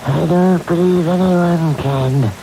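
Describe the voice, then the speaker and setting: A grave woman medium in a vintage radio chiller, speaking very slowly in a dark, muted tone. She sounds solemn and ominous over a hissy, noisy broadcast. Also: fairly low pitch. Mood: grave warning. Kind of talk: old-time radio drama acting.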